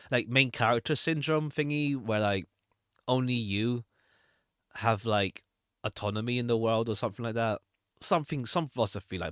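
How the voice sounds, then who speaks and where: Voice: mid-range voice, a bit bright, no echo, studio quality. A streamer chatting casually and thinking aloud in a hesitant, rambling way during a game read-through. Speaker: streamer reading a visual novel aloud. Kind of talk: casual Let's Play chat and read-aloud. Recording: jazzy game music behind the voice. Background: jazzy retro game music.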